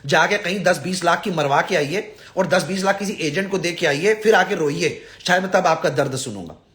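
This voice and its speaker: A man's voice: sits high, normal speed, a bit bright. A man addresses followers on a live stream, blunt and exasperated, scolding and pleading with emphatic repetition. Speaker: visa advisor and live-stream host.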